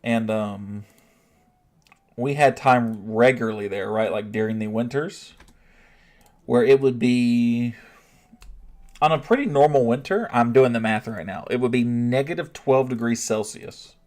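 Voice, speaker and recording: A man, a streamer, chatting casually with his live chat, thinking out loud and often restarting his sentences. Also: medium pitch, unhurried.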